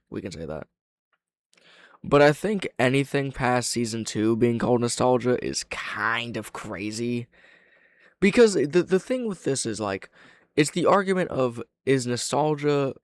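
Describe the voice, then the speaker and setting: A man's voice: medium pitch, dry recording. Young adult male reactor, frank and opinionated, sweary casual commentary, close dry recording. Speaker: reaction video host and reviewer.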